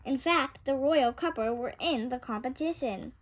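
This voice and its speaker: A woman reading a children's picture book aloud with expressive, dramatic storytelling. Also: high-pitched, moderate pace. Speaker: storytime reader.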